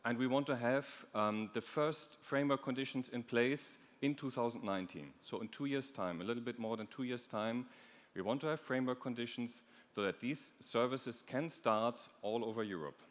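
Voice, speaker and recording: A man's voice: mid-range voice, moderate pace. Man presenting in a reverberant hall. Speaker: European Commission official, panelist. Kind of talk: conference presentation. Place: large conference stage. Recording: echoey hall.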